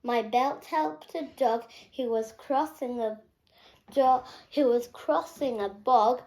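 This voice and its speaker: A young girl reads a children's picture book aloud, carefully and haltingly, stumbling and correcting herself. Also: on the high side, darkish tone, slight background noise. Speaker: young reader.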